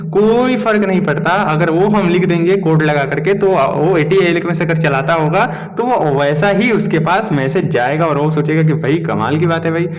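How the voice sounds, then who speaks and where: A man's voice: on the high side, moderate pace, few pauses. A man hosting a phone tutorial in a casual, chatty way, often checking in with "okay?". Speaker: tech tutorial host. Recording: long echo in a room.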